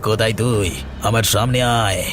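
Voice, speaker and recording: A man's voice: lowish voice, steady tone, normal speed, music in the background, natural voice. A man playing a sinister, curt villager who gives commands, in a horror cartoon. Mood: scheming, commanding.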